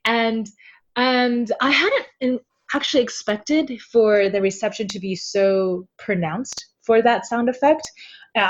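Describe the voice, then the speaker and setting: A woman being interviewed about her translation work, animated and expressive, laughing often as she tells stories. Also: medium pitch. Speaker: guest translator. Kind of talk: casual interview chat. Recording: slightly dull lo-fi sound.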